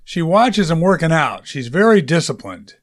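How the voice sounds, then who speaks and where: A man's voice: a little high, sing-song, moderate pace. A man voicing a friendly, nostalgic male moviegoer in an audiobook, with a casual way of talking.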